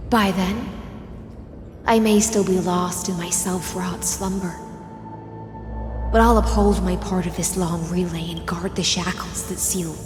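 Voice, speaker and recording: A woman voicing a mythic game heroine, tender, mournful and resolute, speaking lyrically and deliberately in a poetic monologue. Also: fairly low pitch. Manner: slow, lyrical, deliberate delivery. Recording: echoey long reverb under loud music.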